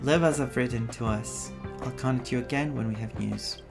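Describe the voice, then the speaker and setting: A man streaming a story game, reading dialogue aloud and adding his own expressive reactions. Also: medium pitch, normal speed, creaky. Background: game music.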